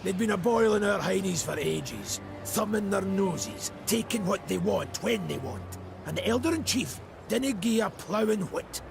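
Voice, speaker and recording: Gravelly, high-pitched Scottish dwarf. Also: male voice, animated, a little slow, over music, heavily acted. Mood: defensive. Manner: colloquial, blustering, earthy. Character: crude, evasive dwarf clansman confessing uneasily. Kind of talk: scripted video game voice acting.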